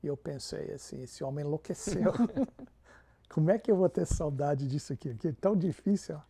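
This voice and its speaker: Gravelly pastor, warm tone. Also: male voice, fairly high pitch, animated, moderate pace. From Canada. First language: English. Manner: peppers Portuguese with English fillers. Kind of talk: interview storytelling.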